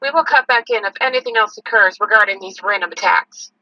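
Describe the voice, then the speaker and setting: A woman's voice: medium pitch, fast, sharp tone, no room sound. A woman playing a serious, matter-of-fact field reporter giving a scripted news report, in slightly lo-fi audio. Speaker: on-scene reporter.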